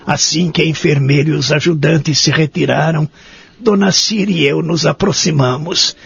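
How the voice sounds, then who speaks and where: An actor playing a humble, lonely elderly Brazilian man in a radio drama, formal, sincere and deliberate. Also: a little high, creaky, a bit bright, husky, no room sound, full character voice. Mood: reflective. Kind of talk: radio drama acting and narration. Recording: dull lo-fi audio drama recording.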